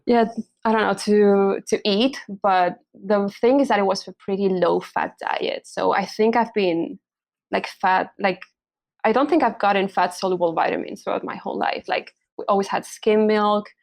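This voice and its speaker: A young woman from Spain speaks as a guest in a relaxed interview, her voice at a middle pitch with a gravelly, creaky texture. She hesitates often, filling gaps with ums and likes and restarting her sentences. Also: moderate pace. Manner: hesitant, many fillers and restarts, laughs often. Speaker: hormonal and pregnancy coach, podcast guest. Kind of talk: casual interview chat.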